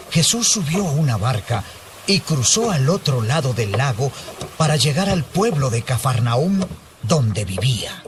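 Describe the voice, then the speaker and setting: A man's voice: medium pitch, noisy background. A man narrating a dramatized scripture reading as a scripted storyteller. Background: music.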